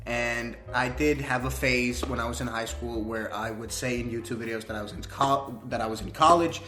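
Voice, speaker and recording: A man's voice: medium pitch, steady tone, normal speed, sharp tone, soft background music. A young man in his twenties from Denmark, speaking English with a Danish accent, chatting loosely and rambling in a casual reaction vlog from a small room. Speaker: YouTuber. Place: small indoor room at a desk. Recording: echoey room.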